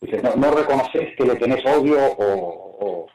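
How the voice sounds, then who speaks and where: A man's voice: medium pitch, lively intonation, moderate pace, warm tone. A man interpreting on a conference call in hesitant, pieced-together phrases. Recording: echoey, distant, noisy conference line.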